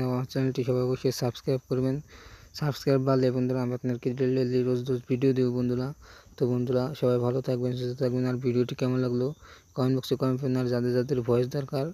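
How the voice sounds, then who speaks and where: Guy doing a casual youtube intro, talks fast, super flat, soft mellow tone. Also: medium pitch, dry recording. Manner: repetitive, addresses viewers as friends. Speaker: YouTube channel host. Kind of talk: casual video intro talk to viewers.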